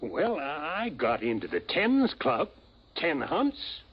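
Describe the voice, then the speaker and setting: A man's voice: a little high, huge pitch swings, slow pace, slight background noise, full character voice. An actor playing a genial, avuncular man in his seventies in a 1950s radio drama, with a folksy, hesitant way of talking. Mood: approving, fatherly. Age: older. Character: genial 73-year-old businessman and retired hunter, folksy mentor. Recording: muffled old radio broadcast recording.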